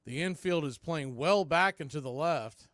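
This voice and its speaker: A man's voice: fairly high pitch, normal speed, fairly bright tone, no room sound, clean studio sound. An American man calling live baseball play-by-play in a folksy, partisan home-team style. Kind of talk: live radio baseball commentary.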